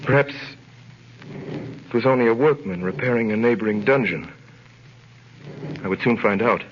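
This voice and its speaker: An actor playing an earnest, desperate French prisoner who tells his ordeal with grave, dramatic pauses in a 1930s radio drama style. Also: medium pitch, a little hiss or hum, close to an everyday voice. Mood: grave, suspenseful. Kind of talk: 1930s radio drama acting, first-person narration. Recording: muffled vintage 1930s radio recording with music.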